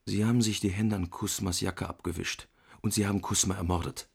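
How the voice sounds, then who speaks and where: A shrewd, composed editor in a radio play. The voice is low with plenty of creak, measured and deliberate as it narrates and probes. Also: male voice, fairly even intonation, exaggerated voice. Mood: accusing. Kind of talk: German radio drama acting and narration.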